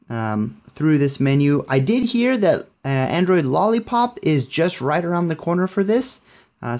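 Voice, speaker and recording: A man's voice: a little high, a little mellow. A young man giving a casual hands-on tech demo in an upbeat, approving manner. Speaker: tech reviewer.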